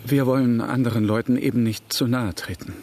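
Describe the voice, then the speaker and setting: An actor in a German radio drama playing a courteous, curious American gentleman, gently flirtatious and politely probing. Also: medium pitch, fairly even intonation, brisk, slight background noise, close to an everyday voice.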